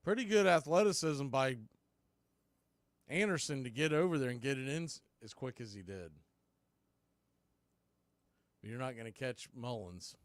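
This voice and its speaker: A man's voice: medium pitch, moderate pace, no echo. A man giving live baseball play-by-play commentary as an announcer, in clipped phrases that swell with the action. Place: indoors, calling the game from a video feed.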